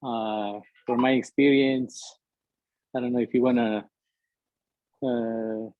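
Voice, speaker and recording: A middle-aged man from Ecuador with an Ecuadorian Spanish accent, a native Spanish speaker talking as a guest in halting English, searching for words with many fillers. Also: medium pitch, steady tone, dry recording, narrow, tinny audio. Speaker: interview guest, musician. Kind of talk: conversational interview, halting English.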